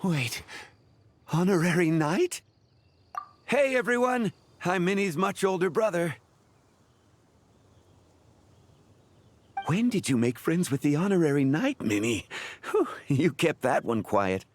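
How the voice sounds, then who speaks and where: A man's voice: on the high side, sing-song, a little slow, slight background noise. A man acting as an easygoing, nagging older brother in a video game, talking in a casual, awkwardly friendly way. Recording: background music under the dialogue.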